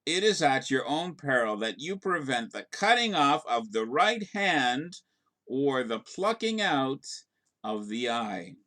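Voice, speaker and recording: A man, a pastor, reading a scripted daily devotional with deliberate, measured phrasing. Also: mid-range voice, sharp tone.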